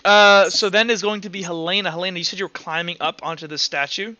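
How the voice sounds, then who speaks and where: A man's voice: high voice, steady tone, fast talker, bright, forceful tone, no echo. A man running a tabletop roleplaying game, narrating off the cuff and casually thinking aloud. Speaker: game master. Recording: dull lo-fi voice track with music. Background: music.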